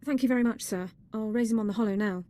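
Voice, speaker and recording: A dutiful young female army medic from a video game, played by an actress. Her voice is fairly high and very soft-edged, and she speaks with precise, formal deference. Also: fast talker, lightly acted. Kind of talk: video game dialogue acting.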